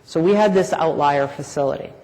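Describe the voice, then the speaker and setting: A man lays out his company's safety and wellness approach at a conference, talking in a measured, explanatory way with frequent fillers. His voice sits somewhat high for a man. It carries a long reverb from the large hall around him. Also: a little mellow. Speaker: corporate safety and wellness leader. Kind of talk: conference panel talk.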